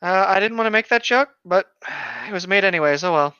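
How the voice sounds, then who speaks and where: Male co-host, twenties, clear forward voice, casual read-aloud banter, mildly muffled dry recording. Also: high-pitched, a little fast, bright, forceful tone, no room sound. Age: 20s. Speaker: co-host reading internet horror stories.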